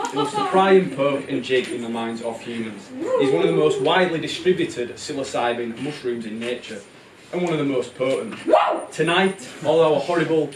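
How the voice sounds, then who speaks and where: A man narrating a live puppet show in a comic, theatrical style, playful and exclamatory. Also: on the high side. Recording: very noisy, roomy, distant live bootleg.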